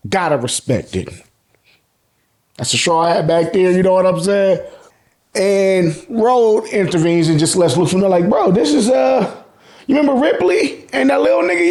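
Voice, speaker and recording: An American man giving a casual, joking recap as a channel host, full of slang and swearing and acting out dialogue. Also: sits high. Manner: slangy, profane, joking, animated. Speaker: host of an anime and manga review channel.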